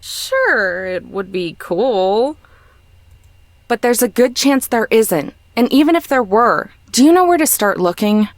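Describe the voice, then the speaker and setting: A woman's voice: on the high side, very animated, a little slow, dry recording. A woman playing a sensible young traveler in a visual novel, skeptical, dry and pointed. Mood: challenging.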